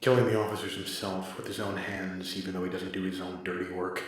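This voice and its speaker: Low, terse grim vigilante. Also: male voice, level tone, brisk, breathy edge. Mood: terse, guarded. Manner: terse, stern, clipped. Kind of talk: radio drama acting.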